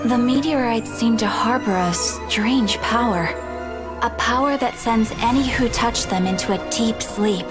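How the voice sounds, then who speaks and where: Woman acting as polite serious guild clerk in a video game, slightly high voice, formal and measured, music behind. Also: natural voice. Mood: grave, businesslike. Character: composed, courteous female guild receptionist, serious and dutiful.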